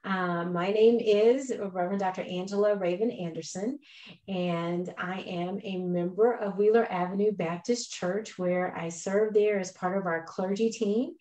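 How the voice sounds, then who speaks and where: A woman's voice: lowish voice, measured pace, mellow. Echoey American woman guest on video call. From Texas, United States. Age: middle-aged. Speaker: guest, church minister. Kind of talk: conversational interview. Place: indoors on a video call, virtual background.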